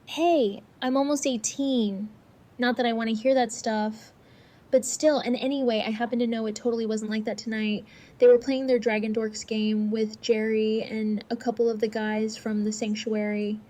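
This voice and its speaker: A woman acts out a teenage girl in an audio drama. Her voice is fairly high but flat in melody, with a snarky, defensive, casual edge. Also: normal speed, natural voice. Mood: indignant. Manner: casual, retorting. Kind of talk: fan audio drama acting.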